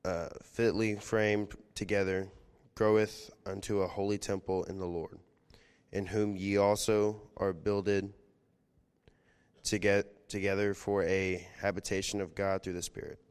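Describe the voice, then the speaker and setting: A man reading scripture aloud in a steady, even way. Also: lowish voice, a little slow, smooth. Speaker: scripture reader.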